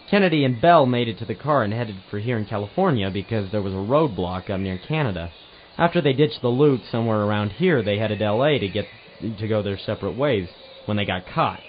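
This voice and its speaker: A man's voice: medium pitch, close to an everyday voice. A man playing a hard-boiled, world-weary private eye in an audio drama, narrating in a wry noir style. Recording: dull lo-fi home recording, background hiss.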